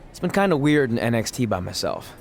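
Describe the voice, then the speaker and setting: A man's voice: mid-range voice, sing-song, quick pace, gravelly, a little hiss or hum. A man acting a goofy, eager young wrestler in a video game cutscene, chatting with boyish earnestness. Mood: casual, upbeat. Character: eager goofy young male pro wrestler, wisecracking and naive.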